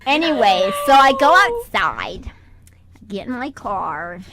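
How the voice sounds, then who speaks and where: A woman's voice: mid-range voice, sing-song, takes it slowly. A woman voicing a ditzy, talkative mother who rambles and insists she is organized, in an improvised comic radio monologue. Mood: indignant, rambling. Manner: rambling, self-justifying, giggly. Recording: clear background noise, amateur radio show.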